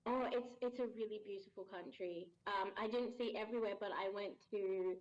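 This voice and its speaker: A woman voice actor, a guest on a remote podcast, chatting casually and laughing often, her voice jumping up when she gets excited. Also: mid-range voice, brisk, muffled recording. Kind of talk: casual interview chat.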